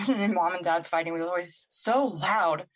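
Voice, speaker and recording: A woman's voice: lowish voice, measured pace. A woman playing a resentful, weary, neglected young woman in an audio drama, talking to herself in a wounded, sighing way. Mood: bitter, weary.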